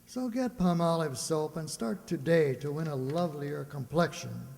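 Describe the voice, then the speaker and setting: A man plays an old-time radio commercial announcer, emphatic and salesmanlike. His voice is fairly high and carries a long hall reverb. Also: normal speed, vocal fry, a little mellow. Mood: persuasive. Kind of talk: 1940s radio ad read.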